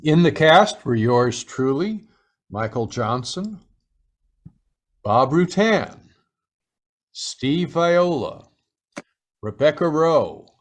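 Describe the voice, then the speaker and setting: A man working as a radio drama announcer, delivering scripted old-time radio copy with a measured showman's delivery. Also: a little high, a bit bright. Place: live remote video-call performance.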